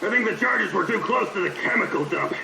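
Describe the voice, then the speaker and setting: A man's voice: sits high, expressive, brisk, creaky, roomy sound, very noisy. A male actor playing a manic, mocking, foul-mouthed hacker saboteur in a video game. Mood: sardonic bravado. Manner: brash, mocking, swearing freely. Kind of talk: dramatic video game voice acting.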